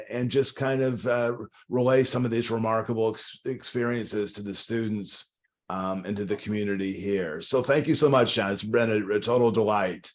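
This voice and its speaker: A man hosting a public policy interview series, thanking his guest and signing off over a video call. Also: medium pitch. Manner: gracious, thanking. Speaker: host. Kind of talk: interview sign-off.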